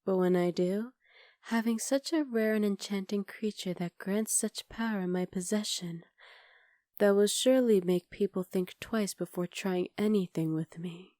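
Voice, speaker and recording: A woman voicing a scheming, possessive witch in an ASMR roleplay, speaking softly and often half-whispering close to the mic. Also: mid-range voice, fairly even intonation, moderate pace, no echo, lightly acted. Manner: soft, intimate, coolly calculating, often half-whispered. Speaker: voice actor.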